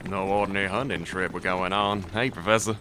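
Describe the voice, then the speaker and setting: A man's voice: deep voice, steady tone, fairly quick, natural voice. A man playing a bold, folksy adventurer in an audio drama. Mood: cocky, eager. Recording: audible background noise.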